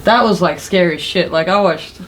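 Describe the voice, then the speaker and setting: A woman's voice: on the low side, fairly quick, noisy background. A woman co-hosting a gaming show in casual chat, with an informal, slangy way of talking. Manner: informal, slangy, mildly sweary. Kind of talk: casual chat about games and films.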